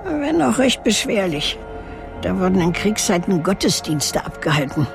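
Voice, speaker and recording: An older actress playing a knowing, eccentric cave dweller, matter-of-fact and reminiscing, in a radio drama. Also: female voice, medium pitch, big pitch swings, normal speed, vocal fry, over music. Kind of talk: naturalistic radio drama acting.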